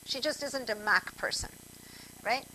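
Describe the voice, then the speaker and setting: A woman's voice: on the high side, unhurried, sharp tone, a little hiss or hum, rough recording. A woman from Argentina teaching a computer class over a video call, chatty and digressive, speaking straight to her students. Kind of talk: online class instruction.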